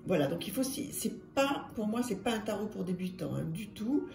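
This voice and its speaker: A woman's voice: medium pitch, animated, moderate pace, vocal fry. A woman hosting her tarot channel, showing a deck in a casual, chatty way with many fillers and repeated words. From France. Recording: echoey room with music behind. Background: soft music.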